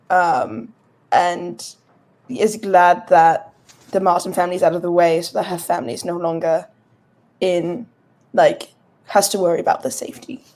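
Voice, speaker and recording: A woman's voice: lowish voice, darkish tone. A woman chatting casually as a tabletop game player, informal and peppering her sentences with "like". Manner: informal, heavy use of "like". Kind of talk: casual chat.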